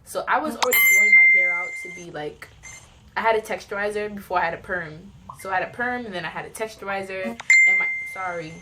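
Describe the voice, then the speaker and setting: A young woman tells personal stories in a casual, chatty vlog style, animated and giggly as she acts out little reactions. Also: mid-range voice, fast talker, heavy background noise. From New York, United States. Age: young adult. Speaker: vlogger telling personal stories.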